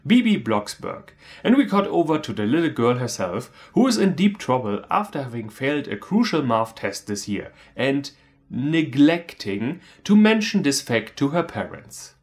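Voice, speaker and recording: A man narrates a comedic film review. His medium-pitched voice moves up and down a lot, with a sarcastic, irreverent edge. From Germany. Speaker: movie reviewer, video host. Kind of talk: scripted comedic movie recap narration.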